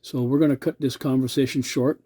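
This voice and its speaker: A middle-aged man with a very dark, mellow voice and flat, even intonation. He rambles casually and hesitantly in radio-hobbyist lingo. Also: mid-range voice, fairly quick, slightly rough. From Canada.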